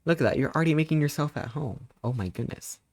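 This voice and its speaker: A man in his thirties, a live streamer chatting casually and greeting viewers, rambling playfully with sudden squeaky jumps in pitch. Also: lively intonation, fast, vocal fry, no echo. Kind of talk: casual live-stream chat reading chat messages.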